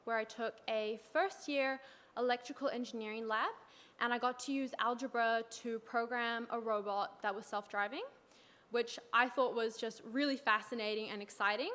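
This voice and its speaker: A young woman, a student, presenting to an audience in a warm, conversational way. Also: a little high, fairly even intonation, moderate pace. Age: young adult.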